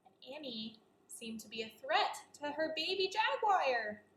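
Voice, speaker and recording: A woman's voice: high-pitched, very animated, measured pace, a bit bright, echoey room, slight background noise. A woman teacher reading a children's story aloud, expressively and speaking directly to her young listeners.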